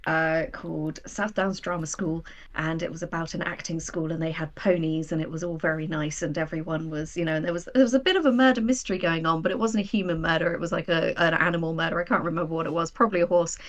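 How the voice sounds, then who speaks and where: A woman with a slightly low voice, talking casually as a guest in an interview. She tells personal stories with wry, self-deprecating emphasis, animated and conversational. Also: fast talker, hardly any pauses. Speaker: guest, writer of audio drama.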